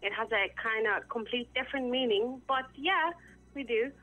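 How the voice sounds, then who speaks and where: A woman's voice: fairly high pitch, moderate pace, sharp tone, faint music behind. A woman from Iran who grew up in Vienna, calling in to a radio phone show and talking frankly with lots of fillers. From Iran, raised in Vienna, Austria. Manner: chatty, frank, uses fillers. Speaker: caller. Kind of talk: phone-in call. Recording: tinny phone line.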